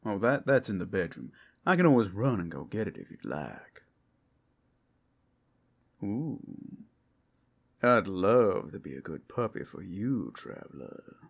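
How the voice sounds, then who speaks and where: Low, creaky, slow-talking man playing a male werewolf. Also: sing-song, exaggerated voice. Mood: teasing. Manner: teasing, folksy, sardonic. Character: flirtatious, protective male werewolf guide with a folksy drawl. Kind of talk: ASMR roleplay audio drama.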